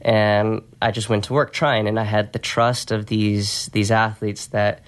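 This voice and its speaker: A soft-spoken man talking in an easygoing, reflective way as a podcast guest, telling stories about his work in a conversational interview. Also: fairly low pitch, little pitch movement, a little slow. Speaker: podcast guest, strength and conditioning coach.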